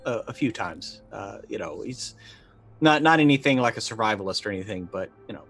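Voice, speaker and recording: A middle-aged man playing a tabletop roleplaying game, casually and haltingly describing what his character does, with music behind him. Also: lowish voice, animated, a bit bright. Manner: halting, thinking aloud. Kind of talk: casual chat, narrating his character's actions. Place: indoor room, video call. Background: background music.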